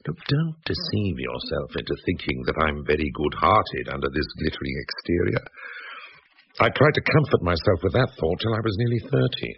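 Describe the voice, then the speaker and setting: A man's voice: low voice, big pitch swings, moderate pace, gravelly, full character voice. An actor playing a witty, self-mocking British man nearing middle age, with an urbane, sardonic drawl, in a radio drama. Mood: world-weary, sardonic. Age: middle-aged. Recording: muffled old radio recording, faint hiss.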